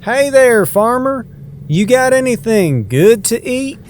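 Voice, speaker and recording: An actor playing a folksy, hungry cartoonish bear in a children's radio show. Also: male voice, very high voice, big pitch swings, slow pace, slight background noise, exaggerated voice. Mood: grumbly eagerness. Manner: folksy, exclamatory.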